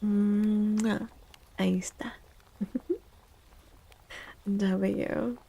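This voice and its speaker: A woman streaming soft-spoken ASMR, chatting gently and playfully with viewers, often close to a whisper and repeating little phrases. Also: mid-range voice, steady tone, drawn-out words. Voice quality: soft, breathy, husky, often near whisper. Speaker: ASMR streamer, tarot reader.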